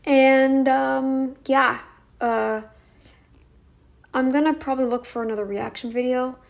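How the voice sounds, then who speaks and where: Slow, gravelly-voiced young woman vlogger. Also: high-pitched, steady tone. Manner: rambling, halting with restarts. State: croaky voice. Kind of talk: casual talking-head vlog.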